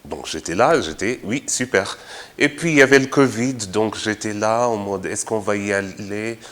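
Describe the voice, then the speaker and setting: A man's voice: lowish voice, normal speed, fairly bright tone. A young man from Kenya, a former language assistant, speaking in a testimonial with many fillers and restarts. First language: English. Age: young adult. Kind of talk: testimonial talk to camera.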